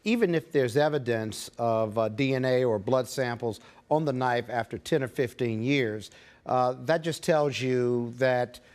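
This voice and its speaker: A man's voice: mid-range voice, a little slow, darkish tone, smooth. A man with an American accent giving legal commentary in a TV interview, emphatic and fond of rhetorical questions. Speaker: guest legal analyst, criminal defense attorney.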